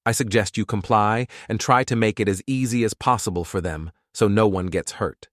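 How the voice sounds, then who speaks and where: A man acting a priest who commands a starship in a sci-fi audio drama. His voice sits low. He speaks calmly and firmly, in short deliberate lines, with stubborn resolve. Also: dry recording, clean studio sound, natural voice. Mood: firm, defiant. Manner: terse, firm, deliberate.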